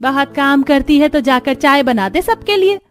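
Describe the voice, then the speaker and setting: A woman voicing a bossy, sharp-tongued middle-aged mother-in-law in a cartoon story. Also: sits high, expressive, normal speed, faint music behind. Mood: irritated, sarcastic.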